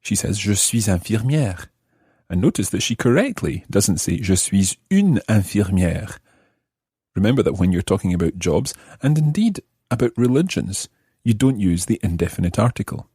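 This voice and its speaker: A man hosting a language lesson with scripted narration, explaining points carefully and enunciating clearly for learners. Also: fairly low pitch, expressive, a little slow, dark tone, no room sound, clean studio sound.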